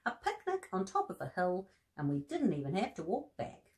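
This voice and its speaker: A woman voicing a small, eager young teddy bear in a storybook, pitched higher than her narration. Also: huge pitch swings, moderate pace, darkish tone. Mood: excited.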